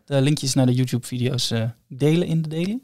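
A man's voice: medium pitch, a little fast, a little mellow, no room sound, studio quality. A man co-hosting a casual podcast, searching for his words as he explains. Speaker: podcast co-host.

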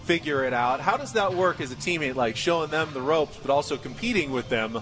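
An American man works as a sports radio host, presenting live on location. His voice sits a little high for a man. Faint music can be heard along with his talk. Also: animated, a little fast, fairly bright tone. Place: spring training site, live on location.

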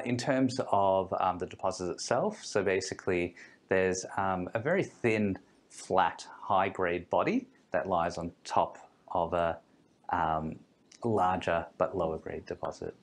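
A man's voice: low-pitched, slow. A man, a guest fund manager, explains things in an interview with many ums and restarts. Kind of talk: podcast interview.

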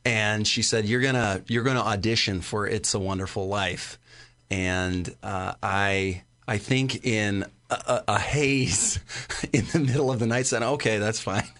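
Male interviewee, thirties, slightly deep voice, halting and conversational. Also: fairly bright tone. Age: 30s. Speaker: interview guest, amateur stage actor.

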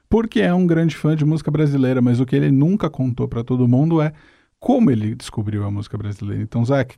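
A male radio host leads the interview in a friendly, chatty way. His voice is mellow and warm at a middle pitch, and the sound is dry and close. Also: gravelly, no room sound.

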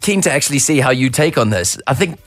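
Man, radio co-host, lively pitch, dry studio sound, inquisitive. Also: fast talker, dry recording, faint music behind. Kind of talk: casual talk radio chat.

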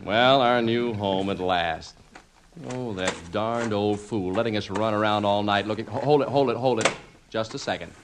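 An actor playing an excitable, exasperated American husband who stammers and exclaims, in 1950s radio comedy style. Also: male voice, lowish voice, normal speed, natural voice. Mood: weary, insistent. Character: excitable, exasperated husband and father moving house. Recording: noisy vintage 1950s radio recording.

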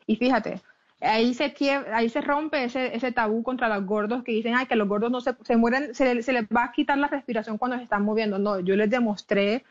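A woman from Colombia with a Caribbean coastal accent, a plus-size model being interviewed, telling her story with animated restarts and English words mixed in. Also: on the high side, fairly quick, muffled recording. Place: her home in the Netherlands.

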